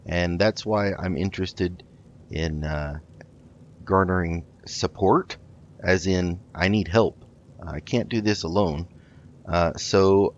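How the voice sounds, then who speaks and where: A man hosting a roleplay gaming video, improvising in character with a polite, halting delivery. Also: sits low, dull audio. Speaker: gaming host.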